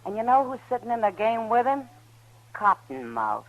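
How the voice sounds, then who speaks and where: Lively-voiced western saloon lady. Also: on the high side, normal speed. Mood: knowing, gossipy. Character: easygoing, worldly Old West saloon woman. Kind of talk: 1950s radio western acting. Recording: thin, phone-like old radio recording.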